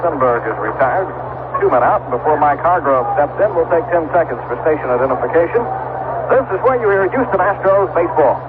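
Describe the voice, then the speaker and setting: A man doing unhurried, descriptive play-by-play commentary as a radio baseball announcer, pausing between phrases. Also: on the high side, lively intonation. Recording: vintage narrowband radio broadcast, loud background noise.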